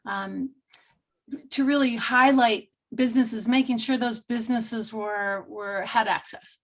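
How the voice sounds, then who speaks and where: A woman with a fairly high voice talks quickly and rambles, stopping and restarting her phrases often. She is introducing herself on a panel over a video call. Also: steady tone. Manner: rambling, restarts a lot, speaks fast. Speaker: community business organizer.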